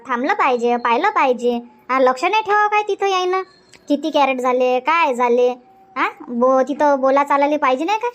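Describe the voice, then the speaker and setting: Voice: sits high, a bit bright, highs cut off. An actor voicing a chatty, stubborn village housewife in a comedy cartoon, nagging insistently in a rural Vidarbha Marathi accent. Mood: scolding.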